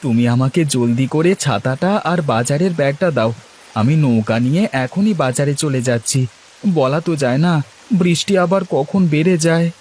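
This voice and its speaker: A man's voice: mid-range voice, moderate pace, darkish tone, slight background noise, close to an everyday voice. A man voicing a harried, good-natured village husband in a cartoon, chatty and pleading. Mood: eager, reassuring. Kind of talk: Bengali cartoon dialogue.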